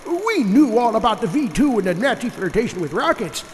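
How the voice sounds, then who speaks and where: A man playing an excitable staff scientist in a cartoon, lecturing on absurd secret projects. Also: extremely high pitch, a little fast. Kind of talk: cartoon character acting. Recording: echoey hall with noise behind.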